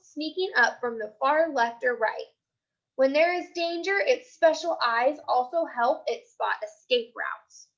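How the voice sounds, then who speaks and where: An American woman with a high, bright voice reads aloud as an elementary teacher. She explains things simply and repeats key points for young listeners. The recording is a little dull and lo-fi. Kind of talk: teaching read-aloud of a picture book with questions and asides.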